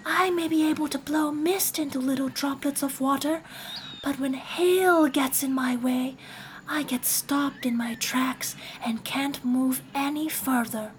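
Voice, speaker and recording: A woman voicing airy female spirits of mist and wind in a storybook, speaking in a hushed, half-whispered way. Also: high voice, fairly even intonation, measured pace, pitched above the performer's own voice.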